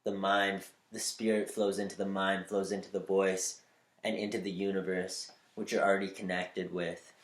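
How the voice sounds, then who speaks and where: A man with a slightly nasal voice giving upbeat, spiritual advice on using your voice, shifting between quick bursts and slow, deliberate lines. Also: low voice, flat delivery, echoey room. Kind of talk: motivational talk to camera.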